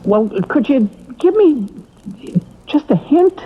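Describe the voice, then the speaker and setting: A man's voice: extremely high pitch, very animated, takes it slowly, a little hiss or hum, heavily acted. An actor playing a bumbling, hesitant male emissary of the afterlife in a radio drama, stammering and apologetic. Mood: anxious, curious. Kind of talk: 1970s radio drama acting. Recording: muffled vintage radio broadcast.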